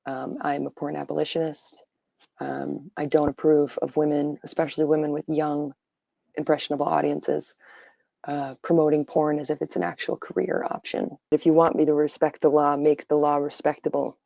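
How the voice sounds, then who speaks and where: A tired woman with a raspy voice, giving her opinions on a casual livestream full of fillers. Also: low voice, flat delivery, moderate pace, mellow, no room sound. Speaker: livestream host. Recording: dull lo-fi stream audio.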